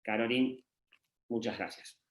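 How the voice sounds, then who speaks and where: A man's voice: medium pitch, fairly even intonation, measured pace, fairly bright tone. A man moderating an online masterclass, formally introducing the next speaker and her credentials. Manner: formal, reading a guest's credentials.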